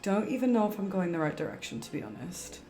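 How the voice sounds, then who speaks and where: Girl streamer chatting while gaming, mellow lowish voice, echoey room, faint music. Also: sing-song, fast talker. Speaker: game livestreamer. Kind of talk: casual chat while playing. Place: indoor room, livestream setup. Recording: roomy streamer mic with game music.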